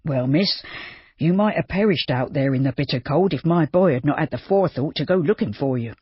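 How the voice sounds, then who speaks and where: A practical, plain-spoken older farmer, calm and matter-of-fact, read by a storyteller in a near-normal voice. The tone is husky. The recording is dry and a little muffled. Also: mid-range voice, fairly quick, dry recording. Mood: steady, practical.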